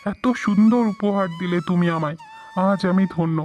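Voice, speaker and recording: A man's voice: high voice, fairly even intonation, normal speed, a little mellow, faint music behind, lightly acted. A man playing a devoted young husband in a melodramatic cartoon, earnest and emotional, often repeating his phrases. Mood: joyful, tender.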